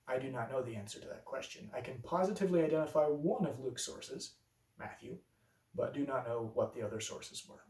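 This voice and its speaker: A man who preaches, presenting a scripted explanatory video essay and reasoning step by step through objections in a measured way. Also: mid-range voice, lively intonation, a little mellow, distant mic. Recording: roomy echo, voice a bit off-mic.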